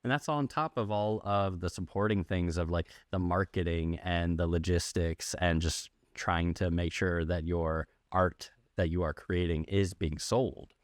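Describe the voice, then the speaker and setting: A man's voice: low-pitched, fairly even intonation, measured pace, few pauses, no room sound, studio quality. A man hosting a casual interview, asking questions and summing up in a conversational way. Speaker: podcast host.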